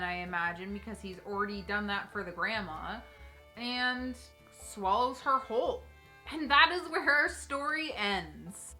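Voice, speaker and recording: A woman's voice: a little high, pushed and bright. A woman hosting a casual, comedic fairy-tale retelling, chatting to viewers with wry asides. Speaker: host, storyteller. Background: faint music.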